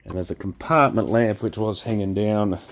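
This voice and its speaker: Male, Australian accent, lowish voice, understated off-the-cuff workshop commentary. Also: fairly even intonation, normal speed, noisy background. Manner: unscripted, musing, understated. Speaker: electronics repairer presenting his channel. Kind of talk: casual hands-on commentary.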